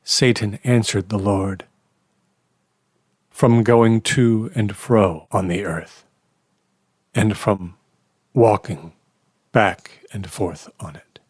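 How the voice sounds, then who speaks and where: A low male voice performed as an audio drama narrator reads scripture with solemn weight. The delivery is slow and deliberate, grave in tone, in a dry, close sound. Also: steady tone, a little mellow, slightly rough, dry recording, full character voice. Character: solemn narrator reading a Bible epigraph.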